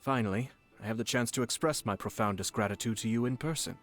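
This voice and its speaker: A man playing a poised young nobleman who heads a great clan, with a medium voice that stays formal and courteous. His speech is measured and gracious. Soft game music hums underneath. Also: medium pitch, close to an everyday voice. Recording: game audio with faint music.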